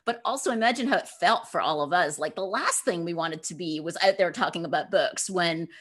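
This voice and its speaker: A woman speaking as a guest author in an interview, informal and emphatic, with animated conversational answers. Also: lowish voice, a little fast. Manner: emphatic, informal, acts out imagined dialogue.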